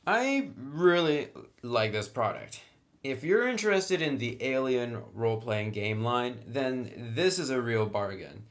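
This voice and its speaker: A man giving a casual spoken review of a tabletop game, enthusiastic, with lots of "actually" and "really" and quick restarts. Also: mid-range voice, very animated. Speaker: product reviewer.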